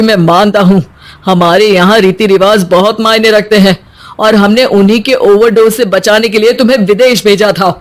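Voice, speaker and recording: A woman's voice: mid-range voice, lightly acted. A woman voicing a middle-aged father in a story, stern but caring, earnestly admonishing. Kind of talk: storybook character acting.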